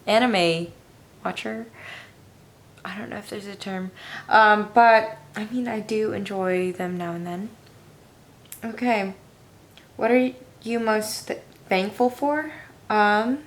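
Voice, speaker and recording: A young woman with a raspy voice, answering viewer questions casually in her room, tired and hesitant with a self-deprecating manner. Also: mid-range voice. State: tired with a headache. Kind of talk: casual Q&A chat.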